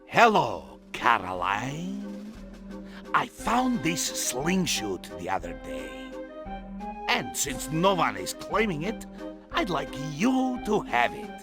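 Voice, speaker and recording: Oddball showman for a video game, high creaky voice, slow n swoopy, theatrical broken english. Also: huge pitch swings, music in the background, exaggerated voice. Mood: offering. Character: eccentric foreign man, theatrical, friendly showman neighbor. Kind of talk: video game cutscene voice acting with tutorial instructions.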